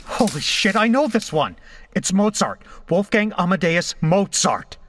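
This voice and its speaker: A man's voice: high-pitched, very animated, unhurried, a bit bright, no echo, studio quality, heavily acted. A man playing a tormented, sardonic captive who narrates his ordeal in a first-person horror audio drama. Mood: nervous. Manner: weary, sardonic.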